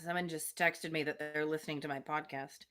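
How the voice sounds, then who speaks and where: A woman's voice: low-pitched, steady tone, fairly quick, noisy background. A woman reading a classic novel aloud on a livestream, slipping into chatty asides to her listeners.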